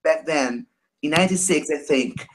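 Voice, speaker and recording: A man in his thirties from Brazil with a Brazilian Portuguese accent, speaking English as a second language on a video call, halting and hesitant, with many fillers. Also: fairly high pitch, low-quality recording. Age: 30s. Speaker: caller. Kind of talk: casual chat.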